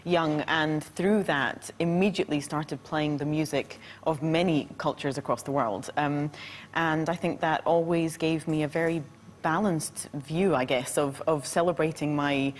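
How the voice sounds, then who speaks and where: A woman from Scotland, a violinist guesting on a talk show, speaking in a measured way with many filler ums. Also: sits low, steady tone, a little hiss or hum.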